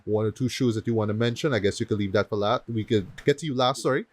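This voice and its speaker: A man's voice: lowish voice, fast talker, no echo. A man hosting a casual panel chat, often repeating short phrases and affirmations. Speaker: podcast host.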